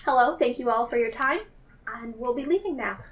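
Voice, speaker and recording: Polite woman, fairly high voice, roleplaying. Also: expressive, recorded from a distance. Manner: polite, measured. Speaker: tabletop game player. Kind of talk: in-character improvised roleplay.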